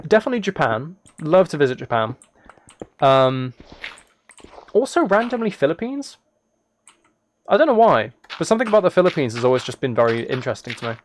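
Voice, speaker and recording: A man's voice: a little high, brisk, pauses often, a little hiss or hum. Rambling man chatting on a casual livestream. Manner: rambling, thinking aloud; soft-spoken, relaxed. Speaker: live streamer. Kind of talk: casual livestream chat while gaming.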